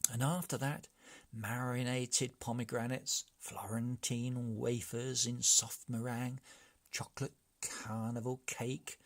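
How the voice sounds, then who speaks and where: Male children's story narrator: unhurried, creaky, expressive and dramatic. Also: mid-range voice. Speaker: story reader.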